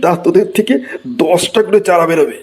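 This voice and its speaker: A man voicing an exasperated, excitable Bengali schoolteacher in a comedy cartoon, theatrical and given to exclaiming. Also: sits high, fairly quick, natural voice. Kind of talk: comic cartoon voice acting.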